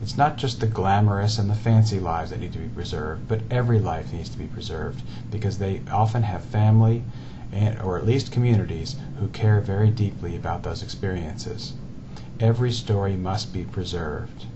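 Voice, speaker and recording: A man in his forties presents his company in an earnest, deliberate way, leaning on lists of words. His voice sits fairly low. The recording is dull and lo-fi, with a steady hiss of background noise. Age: 40s.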